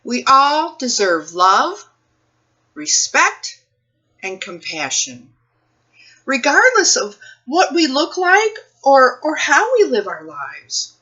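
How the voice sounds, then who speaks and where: A woman reading a picture book aloud for children's storytime, phrasing things deliberately and putting questions to her young listeners. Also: sits high, wildly swooping pitch, pushed and bright, dull audio.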